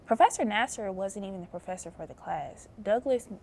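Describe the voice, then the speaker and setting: Young woman, from Georgia, student guest, gravelly fry, soft, earnest. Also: mid-range voice, animated, fairly quick, a little hiss or hum. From Albany, Georgia. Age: young adult. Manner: soft-spoken, earnest, many fillers. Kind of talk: casual interview.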